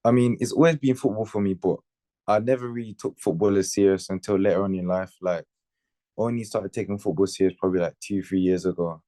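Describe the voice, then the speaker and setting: A man's voice: low-pitched, steady tone, quick pace, mellow, no room sound. A man, chatting casually in a laid-back, slangy way in an interview. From Birmingham, England. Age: late teens. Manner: laid-back, slangy, with tags like innit and you know what I mean. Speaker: footballer being interviewed.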